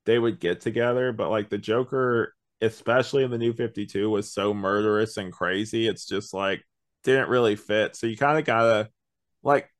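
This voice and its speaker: Guy with a lowish voice doing a casual review chat, kinda hedges and thinks out loud. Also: normal speed. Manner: hedging, thinking aloud. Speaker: podcast host.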